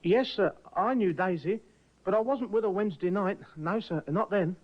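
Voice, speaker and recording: A frightened little ship's cook, deferential and stammering, always eager to please. He speaks in a high voice. The sound is muffled like an old broadcast. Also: moderate pace. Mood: nervous. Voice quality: deferential, stammering, keeps saying sir.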